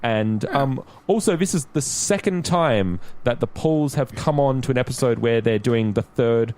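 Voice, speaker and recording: A man's voice: medium pitch, normal speed, darkish tone. A man hosting a podcast, chatting casually as he presents episode facts. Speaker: podcast host.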